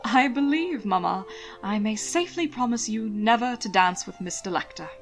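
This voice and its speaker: A witty young man from a Regency novel voiced by a woman. He sounds arch and wry with a sour edge, while music plays low. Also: animated, normal speed, lightly acted.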